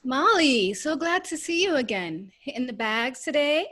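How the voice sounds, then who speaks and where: A woman's voice: sits high, very animated, normal speed, a bit bright, full character voice. A woman playing a friendly, effusive middle-aged acquaintance in a screenplay table read. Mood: delighted.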